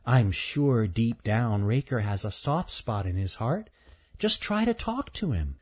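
A man voicing a kind little female squirrel who speaks softly and reassuringly. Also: mid-range voice, very animated, unhurried, breathy edge. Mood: gentle, encouraging. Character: kind little girl squirrel, gentle and wise.

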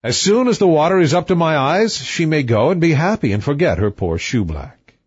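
A man voicing a calm, blunt young prince who speaks coolly, on a dull lo-fi recording. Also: fairly high pitch, a little fast, slightly rough, no echo.

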